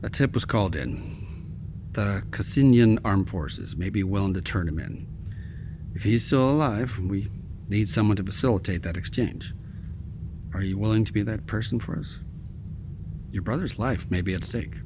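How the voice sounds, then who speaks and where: Formal male official, measured and steady, played by a man; lowish voice, clear room ambience. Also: background noise, lightly acted.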